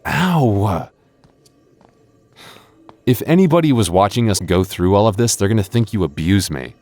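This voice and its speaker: A man's voice: lowish voice, lively intonation, normal speed, darkish tone, no echo. A man voicing a boyfriend in an ASMR roleplay, stammering through restarts and teasing playfully.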